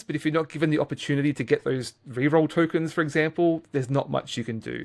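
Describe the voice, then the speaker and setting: A middle-aged man co-hosting a podcast, giving a casual game review in a halting, hesitant way with repeated words. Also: a little high. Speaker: podcast co-host.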